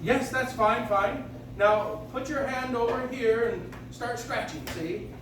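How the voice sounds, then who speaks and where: A man's voice: very high voice, slow pace. A man playing a kindly, playful old man with a jolly, old-fashioned, encouraging manner in a live radio play. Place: live stage in a coffee house. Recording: noisy, echoey audience recording from far off.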